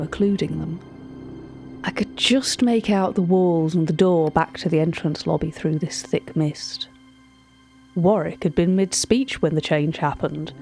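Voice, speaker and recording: A woman's voice: mid-range voice, warm tone. A woman playing an anxious, wordy narrator confiding strange events in an audio drama monologue. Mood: awed, unsettled. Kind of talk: audio drama monologue, recorded-tape account.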